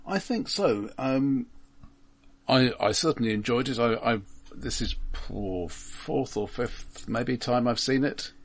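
Man, husky voice, casual film podcast co-host, stammering, dry opinions. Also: mid-range voice, animated, slow.

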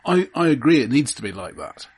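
A man co-hosting a film podcast, discussing films casually in measured, deliberate phrases. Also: medium pitch, very animated, slightly rough.

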